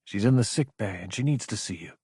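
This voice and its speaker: A man voicing a female military superior in an audiobook, gentle, understanding and firmly encouraging. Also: fairly low pitch, expressive, quick pace, slightly rough, dry recording, studio quality. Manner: gentle, reassuring. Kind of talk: audiobook character acting.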